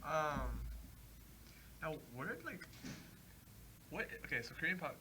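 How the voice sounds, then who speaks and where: A man's voice: fairly high pitch, sing-song, slow, background noise. A teenage boy hosting a casual interview, asking loose questions with many hums and fillers. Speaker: host.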